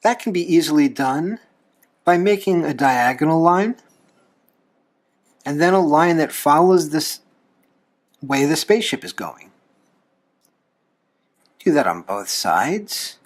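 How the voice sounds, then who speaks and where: Guy doing a drawing how-to, voice kinda high and swoopy, folksy, takes his time between steps. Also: big pitch swings, slow pace, pauses often. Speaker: host of a drawing tutorial show, reverend. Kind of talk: step-by-step drawing instruction. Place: talking from behind a camera while drawing.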